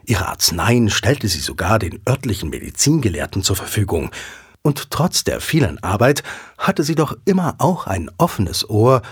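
A man's voice: lowish voice, very animated, normal speed, slightly rough, no echo, studio quality. A man narrating a scripted audio drama as a storyteller.

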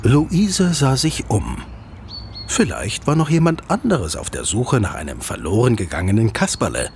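A man narrating a scripted children's audio drama as an expressive storyteller with deliberate phrasing. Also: medium pitch, a little hiss or hum.

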